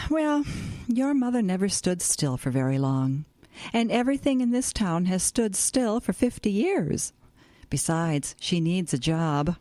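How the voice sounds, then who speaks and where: An older woman playing a fond, wry and chatty small-town grandmother in an expressive radio drama style. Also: fairly low pitch, unhurried, no echo.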